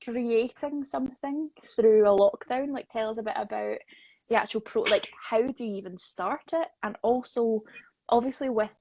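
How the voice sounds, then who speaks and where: A woman hosts a casual live interview with a slightly high, husky voice. She is chatty and encouraging with her guest. The sound is dry, with little room echo. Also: moderate pace, darkish tone. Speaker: host, interviewer. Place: live social media video call.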